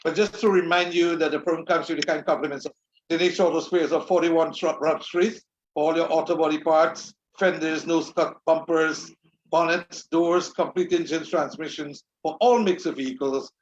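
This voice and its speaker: Formal host in an echoey room. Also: male voice, on the high side, fairly even intonation, moderate pace. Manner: formal, courteous. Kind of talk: online show hosting and sponsor reads. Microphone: video call. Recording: echoey, dull online audio.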